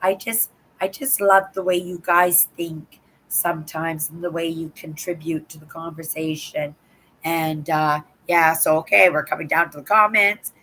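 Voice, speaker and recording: An older woman from Canada hosting a livestream, chatting casually with viewers in a hoarse voice, rambling and tagging "right?" onto her sentences. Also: low voice, fairly even intonation, moderate pace, bright, forceful tone, slight background noise, low-quality recording. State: hoarse from a sore throat. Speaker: livestream host. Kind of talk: casual live chat to viewers.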